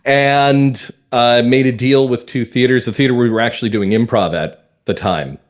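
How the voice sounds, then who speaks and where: A man's voice: medium pitch, moderate pace. A middle-aged man talking at length in a conversational interview, explaining things as a guest. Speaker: guest, mystery playwright and script consultant.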